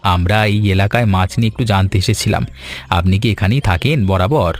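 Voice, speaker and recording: A man plays an eager young village vendor in a cartoon tale. He talks in an earnest, chatty, polite way with a fairly low pitch. Light music sits behind him. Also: moderate pace, natural voice. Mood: eager, friendly. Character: eager young fish-fry seller, friendly village man. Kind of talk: animated story dialogue.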